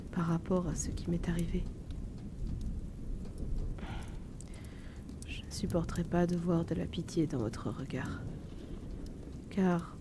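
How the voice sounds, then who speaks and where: Woman acting a sad haunted noble girl, audio drama monologue, real slow and hushed, barely moves in pitch, hesitant and wistful. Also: noisy background. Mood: hesitant, vulnerable. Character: melancholic young woman of noble descent, haunted by her past. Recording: steady loud rainfall behind the voice. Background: rain.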